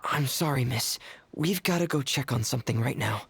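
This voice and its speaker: Husky, creaky, thoughtful young traveller. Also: male voice, mid-range voice, normal speed, no echo. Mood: apologetic. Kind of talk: video game voice acting.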